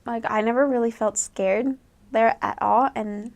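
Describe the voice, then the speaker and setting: A woman's voice: a little high, steady tone, measured pace, a little mellow. A young woman from the United States, a returned missionary, giving chatty advice and laughing often as she acts out quoted voices. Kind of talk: casual advice talk.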